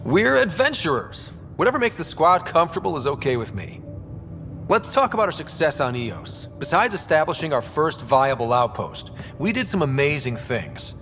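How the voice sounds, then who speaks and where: An actor playing a young, earnest and easygoing space explorer leader in a video game. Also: male voice, on the high side, big pitch swings, normal speed, fairly bright tone, faint music behind. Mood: confident, upbeat. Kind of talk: video game dramatic dialogue.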